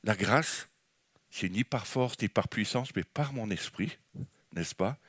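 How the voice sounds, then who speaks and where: A man's voice: fairly low pitch, animated, slow pace, a bit bright, no echo. A man from France with a French accent, preaching an enthusiastic, rambling charismatic sermon in church with many restarts. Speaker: guest preacher.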